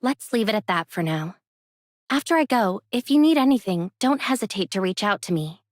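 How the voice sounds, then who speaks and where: A woman's voice: medium pitch, expressive, normal speed, dry recording, clean studio sound, lightly acted. A woman playing an easygoing, friendly young heroine in an anime game, speaking casually with a light teasing edge.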